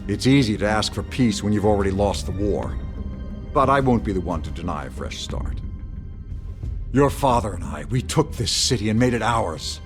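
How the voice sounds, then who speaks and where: An actor playing a pompous, menacing, condescending older mayor in a dramatic video game. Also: male voice, on the low side, lively intonation, normal speed, over music, lightly acted. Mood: smug, condescending.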